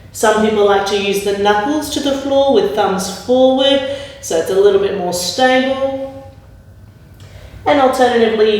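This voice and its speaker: A woman leading a yoga class with calm, gentle spoken cues. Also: medium pitch. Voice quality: slightly raspy when straining. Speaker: yoga instructor. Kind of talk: guided yoga class instruction. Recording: very echoey room, mic at a distance.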